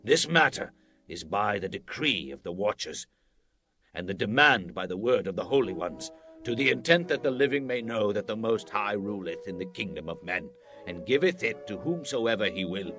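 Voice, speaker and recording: A man's voice: lowish voice, pushed and bright, full character voice. An actor playing a proud, commanding Babylonian king in a solemn scripture dramatization, declaiming in a grand, stately way.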